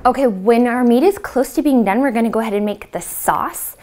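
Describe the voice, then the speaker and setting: A woman's voice: fairly high pitch, a little fast, a little mellow. A woman in her thirties with an American accent, casually explaining a recipe step by step to camera as a cooking host. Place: home-style kitchen, indoors. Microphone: lapel mic.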